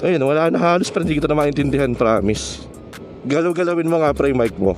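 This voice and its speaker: A man's voice: a little high, fast, over music. A Filipino man vlogging on a motorcycle, talking in a casual, joking, slangy way and mixing in English. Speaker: motovlogger. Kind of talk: casual chat while riding a motorcycle. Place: outdoors on a motorcycle in city traffic.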